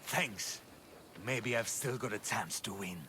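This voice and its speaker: A man's voice: on the low side, takes it slowly, noisy background. An actor playing a sheepish, evasive male athlete in a video game. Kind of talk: video game cutscene acting.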